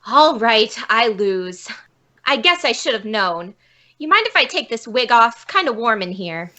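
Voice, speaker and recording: Actress as bitter female con artist, radio police drama, bright sharp voice, very animated, indignant, theatrical. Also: on the high side, unhurried, heavily acted. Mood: bitter, defeated. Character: young failed actress forger posing as indignant old woman, bitter.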